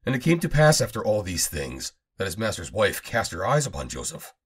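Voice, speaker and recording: Deep, husky man reading scripture. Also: huge pitch swings, fairly quick. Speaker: Bible reader. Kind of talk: scripted scripture reading, solemn archaic narration.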